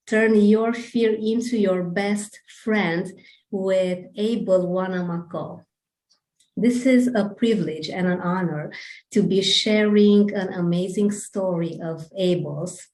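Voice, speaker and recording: A woman hosting a podcast over a video call, reading a guest introduction and asking questions in a deliberate, earnest way. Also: medium pitch, darkish tone, echoey room, low-quality recording. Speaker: podcast host. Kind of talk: scripted introduction and interview questions.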